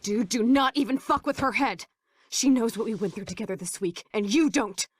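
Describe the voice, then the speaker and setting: A woman's voice: a little high, normal speed, a little hiss or hum, close to an everyday voice. An American woman playing a brash, rebellious, loyal teenage girl in a video game, slangy and cocky. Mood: angry, defiant. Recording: music behind the voice. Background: game soundtrack music.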